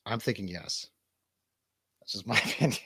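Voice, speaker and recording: Male host, fairly low register, dry sound; irreverent, loose comedic chat with profanity. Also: moderate pace, gravelly, sharp tone, slightly rough, no echo. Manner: irreverent, sweary, rambling. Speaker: radio show host on a livestream.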